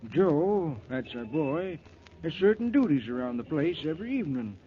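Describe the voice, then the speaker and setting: Worried American dad, high male voice, halting, 1940s radio drama, very narrow tinny sound. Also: very animated. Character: worried, halting father who runs a tourist motel. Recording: thin phone-like 1940s radio recording, faint noise.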